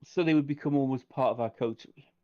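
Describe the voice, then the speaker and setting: A man's voice: mid-range voice, fast, lo-fi sound. A man playing a tabletop roleplaying game, thinking aloud and asking questions in casual discussion.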